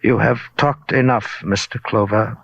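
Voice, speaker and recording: A man's voice: lowish voice, fairly even intonation, measured pace, vocal fry. A man playing a refined, menacing villain in a 1950s radio drama, condescending and formally polite. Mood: coldly menacing. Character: menacing, supercilious, refined male villain poet. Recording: hissy, dull vintage radio recording.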